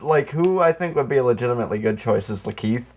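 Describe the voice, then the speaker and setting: A man with a medium-pitched voice chats casually as a podcast co-host. He rambles and stalls as he hunts for words, and swears freely in a comedic film-talk style. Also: animated, quick pace, darkish tone.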